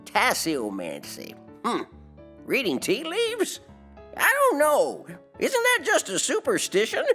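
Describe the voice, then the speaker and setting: Chatty, swooping, spooked ghost. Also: female voice, a little high, wildly swooping pitch, takes it slowly, fairly bright tone, husky, faint music behind, heavily acted. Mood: puzzled, jokey. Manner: colloquial, exclamatory, puzzled. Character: spooked, chatty, jokey ghost being interviewed. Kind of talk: audio drama character acting.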